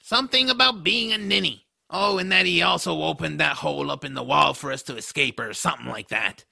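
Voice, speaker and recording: A man voicing a bitter, sarcastic male troll in an audio drama, with a harsh gravelly voice and a grumbling manner. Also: fairly high pitch, a little fast, sharp tone, heavily acted. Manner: sardonic, grumbling.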